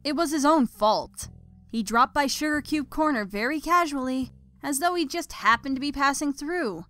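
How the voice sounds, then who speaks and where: A woman's voice: high-pitched, moderate pace, a bit bright, soft background music. A woman reading scripted story narration expressively as the narrator.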